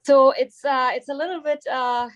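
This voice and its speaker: Woman, german accent, fairly high voice, chatty, full of likes. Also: fairly even intonation, moderate pace. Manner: chatty, lots of "like" fillers. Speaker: EMS trainer, guest. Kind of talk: casual webinar talk.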